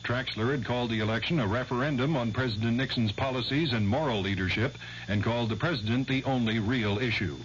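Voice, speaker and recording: A man's voice: mid-range voice, fast. Dull vintage radio American newsman. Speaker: radio news anchor. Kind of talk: scripted network radio newscast. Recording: dull 1970s radio broadcast, faint hum.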